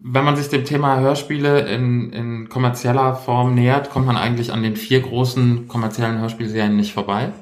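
A man's voice: mid-range voice, flat delivery, a little fast, hardly any pauses. A man co-hosting a casual podcast chat, playful and teasing, with hesitant fillers. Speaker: podcast co-host. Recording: roomy echo.

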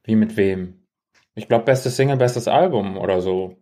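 A man's voice: lowish voice, moderate pace, mellow. A man co-hosting a podcast in casual chat, with a teasing, ironic way of talking. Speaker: podcast co-host.

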